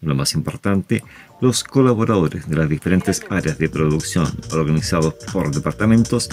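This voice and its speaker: Deep-voiced Chilean man narrating news. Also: expressive, normal speed, a little mellow. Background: background music.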